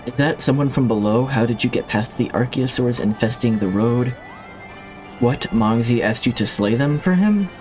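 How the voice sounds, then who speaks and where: A small moogle villager, relieved and talkative, read aloud by a man in a plain, unhurried way. The voice sits at an ordinary middle pitch for a man, with faint music from the game underneath. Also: darkish tone, natural voice.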